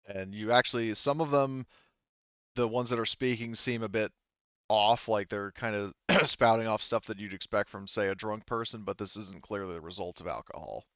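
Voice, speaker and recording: A man improvising narration as game master of a tabletop game, speaking in a hesitant, halting way. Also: lowish voice, steady tone, fairly bright tone, dry recording, lo-fi sound.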